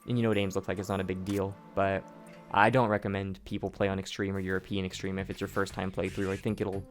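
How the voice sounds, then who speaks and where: A hoarse man with a fairly low voice talks through his stealth-game speedrun in a loose, explanatory way. He stumbles and restarts his phrases as he goes, and faint game music plays under him. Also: level tone, quick pace. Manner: explanatory, rambling, with frequent restarts and profanity. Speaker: gameplay commentator. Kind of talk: casual speedrun commentary.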